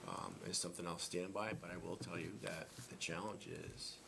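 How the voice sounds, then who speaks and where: A man's voice: sits low, fairly even intonation, fairly quick, creaky, background noise. A man, a school administrator, answering board members' questions at a public meeting, hesitating and restarting often. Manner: hesitant, many restarts and fillers.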